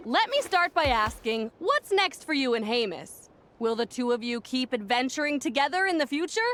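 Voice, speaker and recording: A woman's voice: high voice, very animated, normal speed, fairly bright tone, a little hiss or hum. A woman voicing an upbeat female tournament announcer in a video game, showily addressing a crowd as host of a ceremony. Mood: bright, inquisitive. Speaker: announcer and interviewer. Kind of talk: scripted game voice acting, event hosting.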